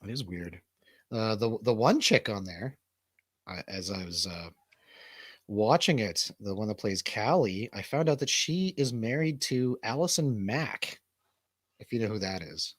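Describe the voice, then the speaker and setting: A man's voice: lowish voice, lively intonation, measured pace, fairly bright tone, no echo. A man hosting a casual livestream chat, laid-back, slangy and rambling. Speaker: host of a livestream show.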